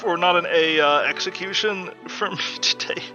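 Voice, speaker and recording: Loud guy with a super bright, kinda high voice, retelling his run all animated. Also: moderate pace, highs cut off, faint music behind. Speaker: tournament racer. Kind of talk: casual post-race chat.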